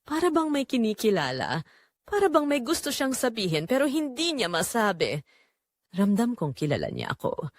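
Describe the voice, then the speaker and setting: Female Filipino narrator for first-person audio drama, confessional, lively intonation, mid-pitched, very dry recording. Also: normal speed, no echo. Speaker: narrator telling the story as its main character.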